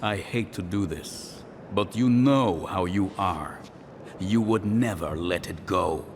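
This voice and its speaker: An actor playing a suave Russian gangster villain in a video game, smug, theatrical and mock-courteous. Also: male voice, takes it slowly. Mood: smug, taunting. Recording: long hall reverb, faint rumbling noise.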